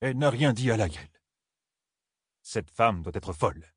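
A man's voice: lowish voice, lively intonation, unhurried, no echo, studio quality, pitched above the performer's own voice. Man doing a blunt suspicious lord. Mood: stern. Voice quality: muttering, gruff.

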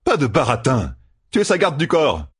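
A man's voice: mid-range voice, huge pitch swings, moderate pace, slightly rough, no room sound, clean studio sound, pitched above the performer's own voice, exaggerated voice. A man voicing a cocky, grinning young gambler with glib, exclamatory banter in a fantasy audiobook. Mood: urgent. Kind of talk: audiobook fantasy character dialogue.

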